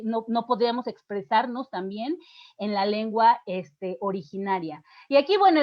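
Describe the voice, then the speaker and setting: A middle-aged woman with a Mexican accent, a teacher lecturing students in an explanatory way, asking questions and answering them herself. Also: a little high. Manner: explanatory, poses questions and answers them, frequent fillers and restarts. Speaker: literature teacher giving a lecture. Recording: muffled lo-fi lecture audio.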